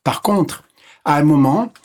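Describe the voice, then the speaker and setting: A man whose first language is English, speaking as a physician guest and explaining step by step in a measured way that lists and repeats points. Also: on the high side, animated, darkish tone. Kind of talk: explanatory interview talk.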